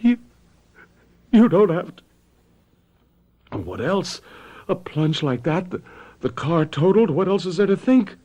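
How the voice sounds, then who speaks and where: An actor playing a guilty, irritable husband in a radio mystery drama, testy and prone to stammering under pressure. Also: male voice, high-pitched, very animated, a little slow, long pauses, warm tone, heavily acted. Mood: agitated, feigned shock. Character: guilty husband feigning grief, curt, irritable, evasive.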